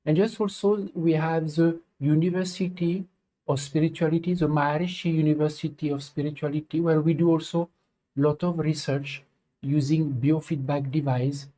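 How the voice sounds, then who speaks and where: A middle-aged man from France with a French accent, hosting a live stream in halting, filler-filled English. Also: on the high side, steady tone, warm tone, rough recording. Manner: halting, many fillers, non-native English phrasing. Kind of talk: casual spoken introduction.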